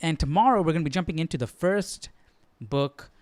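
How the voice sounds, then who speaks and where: A man's voice: a little high, fairly quick, a little mellow, dry recording. A man hosting a live Bible study, chatting informally and thinking aloud. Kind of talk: casual livestream chat.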